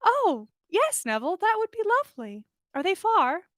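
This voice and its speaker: A woman reading the part of a gentle, dreamy, curious young woman in a story. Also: very high voice, very animated, normal speed, dry recording, studio quality, pitched above the performer's own voice, exaggerated voice. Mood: bright, delighted.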